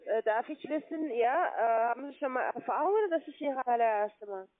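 A woman's voice: on the high side, expressive, fairly quick. A woman with a foreign accent, a non-native speaker, calling as a sales agent. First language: not German. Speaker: call centre sales agent. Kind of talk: phone sales pitch. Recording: tinny phone line.

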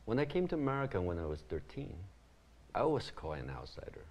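Animated middle-aged man from Taiwan. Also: on the low side, brisk. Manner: animated, gestures with hands while explaining. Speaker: guest, community founder. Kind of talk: earnest interview answers. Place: ornate mansion living room.

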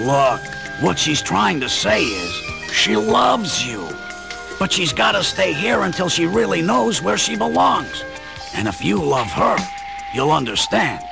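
Gravelly plain-spoken protector. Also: male voice, high-pitched, big pitch swings, unhurried, over music, full character voice. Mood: firm, sympathetic. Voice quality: very creaky, gravelly. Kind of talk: radio play acting.

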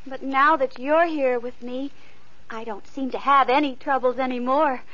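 A woman's voice: high voice, steady tone, moderate pace, fairly bright tone. A lonely, tearful young girl. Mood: earnest. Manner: pleading, tearful. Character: poor lonely young girl Two Eyes, sweet-natured. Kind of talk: 1950s radio acting. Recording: dull lo-fi old radio recording.

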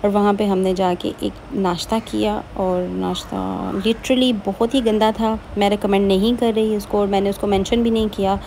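A woman's voice: medium pitch, fairly even intonation, moderate pace, creaky, hardly any pauses, mellow, slight background noise. A woman narrating a casual travel vlog, chatty and keen to recommend places to her viewers. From Pakistan. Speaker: travel vlogger.

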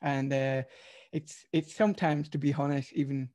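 A man's voice: mid-range voice, flat delivery, moderate pace, darkish tone. A man in his thirties from Switzerland, a podcast guest telling his life story over a video call, hesitant with many fillers. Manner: hesitant, many uhs, slightly non-native phrasing. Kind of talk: personal storytelling interview. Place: home room, video call.

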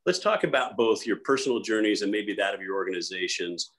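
Deep-voiced male panel moderator. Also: a little fast, fairly bright tone.

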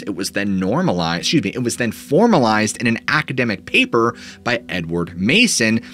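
Man narrating with lively, dramatic pitch. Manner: dramatic storytelling with sweeping rises and dips. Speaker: host. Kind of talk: scripted narration of paranormal stories. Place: small dark home studio. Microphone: studio mic in front of him.

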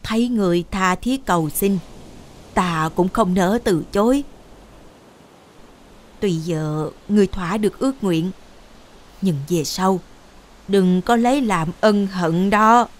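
A woman voicing a benevolent old male immortal in an animated fairy tale, measured and admonishing. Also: mid-range voice, close to an everyday voice. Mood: solemn warning.

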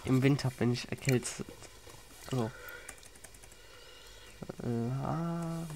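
A man's voice: mid-range voice, drawn-out words, darkish tone. Male gamer with a stuffy cold, plain chatty narration over a faint background hiss. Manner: plain, chatty, explains as he plays. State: has a cold, runny nose. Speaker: Minecraft YouTuber. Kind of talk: casual gameplay commentary.

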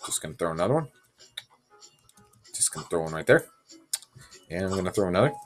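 A young man giving goofy, self-mocking commentary while playing a game, sick and sounding deeper than usual. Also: big pitch swings, fast. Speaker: gamer. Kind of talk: casual gameplay commentary.

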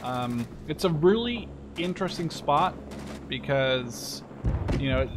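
A man's voice: on the high side, expressive, takes it slowly. A young man giving casual, rambling commentary while playing a shooter game, swearing now and then. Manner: rambling, swears when killed. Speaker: gameplay commentator. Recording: game gunfire noise behind voice. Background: loud gunfire and artillery from the game.

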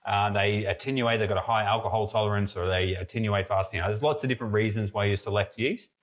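A man's voice: on the low side, quick pace. A young man co-hosting a podcast from an indoor brewery, chatting casually with lots of fillers and restarts as he tastes a homebrew. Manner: relaxed, rambling, many fillers and restarts. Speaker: podcast co-host, mead brewer. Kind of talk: casual tasting chat. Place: brewery room with steel tanks, indoors.

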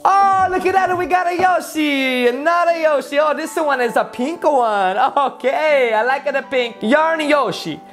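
High voiced cartoon plumber guy, fake italian accent, excitable n scolding, bit of music behind. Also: unhurried, hardly any pauses. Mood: excited, playful. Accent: mock Italian. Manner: broken grammar, exclamatory, playful scolding. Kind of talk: playful toy unboxing in character.

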